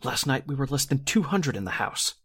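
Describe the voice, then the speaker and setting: A man playing an earnest, indignant theatrical producer in a 1940s-style radio drama, formal and husky. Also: medium pitch, very animated, fairly quick, fairly bright tone, exaggerated voice.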